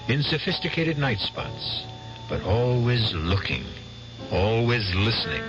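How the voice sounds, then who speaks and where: Deep-ish guy narrating a radio mystery, super slow, measured, dull old broadcast with music. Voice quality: measured, ominous. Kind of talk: scripted dramatic narration.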